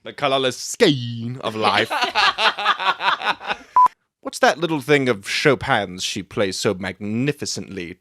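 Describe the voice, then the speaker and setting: A man with an animated, middle-pitched voice works through scripted lines and jokes casually between takes. The studio recording carries clear noise. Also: slow, pauses often. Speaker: voice performer rehearsing lines.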